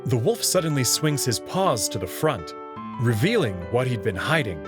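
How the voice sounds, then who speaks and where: Man as a bewildered narrator, lively pitch. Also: normal speed, music in the background, lightly acted. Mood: narrating, bewildered. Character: bewildered young human man narrating his own story. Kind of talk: first-person visual novel narration and dialogue.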